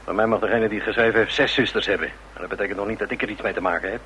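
A man playing a brash, cynical band musician in a radio drama, talking in a gruff, slangy, dismissive way. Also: on the low side, steady tone, very fast, pushed and bright. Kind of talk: Dutch radio drama acting. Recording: muffled, noisy old radio drama recording.

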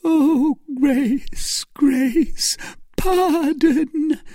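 A desperate villainess shrieks in a piercing, very high voice, as a male reader puts her on. She cries out at full force, frantic and terrified. The sound is dry and close. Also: slow, mellow, no room sound. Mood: pleading. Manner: shrill, frantic screaming. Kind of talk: audiobook character voice.

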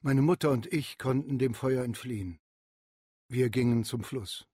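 A man's voice: mid-range voice, unhurried, dark tone, slightly rough, no room sound, clean studio sound. A man playing a solemn survivor narrating memories in a radio drama. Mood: somber, measured. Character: solemn survivor recalling the bombing from childhood.

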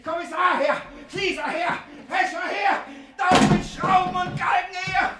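A man's voice: extremely high pitch, steady tone, a little slow, loud music behind the voice, a loud crowd. An actor in a classic German radio play playing a miserly old master, frantic about his stolen money, stammering, wailing and ranting. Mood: frantic, raging.

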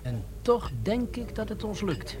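An actor playing a curious, eager schemer who is plotting a robbery in a Dutch radio play. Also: male voice, high voice, sing-song, measured pace, a crowd in the background. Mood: scheming, persuasive.

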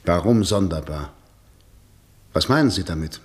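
An actor playing a formal, dismissive legal official in a radio play, lecturing and indignant. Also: male voice, low-pitched, animated, measured pace. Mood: wary. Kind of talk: German radio play acting, 1960.

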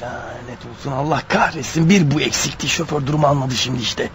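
A man's voice: mid-range voice, fast, a crowd in the background, close to an everyday voice. An actor playing a desperate, wounded killer on the run in a radio drama, agitated, paranoid and muttering to himself as his strength fails. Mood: tense, irritated. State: weak, bleeding, fighting off sleep. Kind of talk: radio drama acting with inner monologue. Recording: dull radio recording with faint noise.

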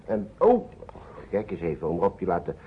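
Deep, worried, kindly uncle, old radio. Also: male voice, huge pitch swings, normal speed, breathy edge, exaggerated voice. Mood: cheerful, fatherly. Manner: hesitant, muttering, repeats himself. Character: worried kindly man, an uncle minding children. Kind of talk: old Dutch radio play acting. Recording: dull noisy old radio recording.

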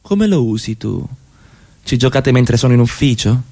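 A man's voice: medium pitch, animated, normal speed, darkish tone. A man playing a jealous, mocking, menacing middle-class husband in radio theatre, with a probing, sardonic delivery. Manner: probing, sardonic, swinging in intensity.

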